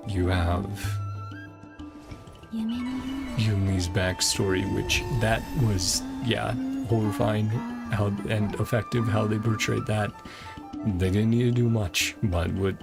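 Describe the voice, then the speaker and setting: A man's lowish, very gravelly voice talks in a casual, rambling streamer style. He sounds tired and hesitant, trailing through his thoughts informally, with prominent music playing behind him. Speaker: game streamer. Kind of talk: casual stream outro chat.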